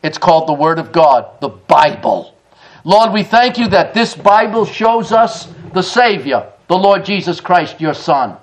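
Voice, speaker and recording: A middle-aged man preaching a sermon in a church, emphatic, with quick chuckling asides. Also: high voice, big pitch swings, low-quality recording. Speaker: pastor. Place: church sanctuary.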